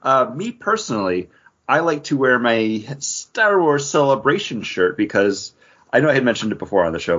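A man with a low, husky voice shares personal anecdotes in an easygoing podcast conversation. He speaks as one of the co-hosts, in casual chat style. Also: fairly bright tone. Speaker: podcast co-host. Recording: dull, lo-fi audio.

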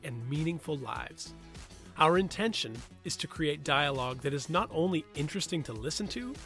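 A man's voice: a little high, a bit bright. A man hosting a podcast, moving between scripted introduction and casual conversation. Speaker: podcast host.

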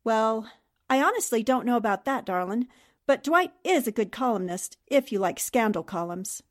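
A woman's voice: fairly high pitch, lively intonation, normal speed, natural voice. A woman playing a polite, good-natured newspaperwoman with a genteel manner, in 1940s radio drama style. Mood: friendly.

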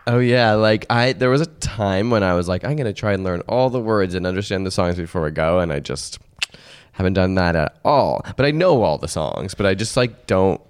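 Deep-voiced man, meandering and thoughtful. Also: lively intonation, brisk, smooth. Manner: thoughtful, meandering, many "like" fillers. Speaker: podcast co-host. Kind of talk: casual chat.

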